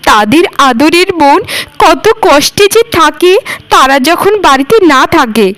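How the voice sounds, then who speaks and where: A woman's voice: high voice, lively intonation, measured pace, fairly bright tone. A woman voicing a scheming, spoiled young village sister in a cartoon, theatrical and petulant. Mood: vengeful, scheming. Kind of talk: cartoon voice acting, melodramatic.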